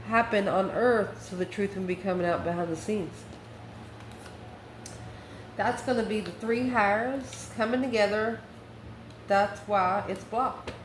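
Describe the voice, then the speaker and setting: A woman of medium pitch gives an off-the-cuff tarot reading to her viewers. She talks conversationally, in short phrases, as if working out each card aloud. The room echoes around her voice, with faint noise underneath. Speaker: tarot reader, channel host. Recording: roomy echo, faint background noise.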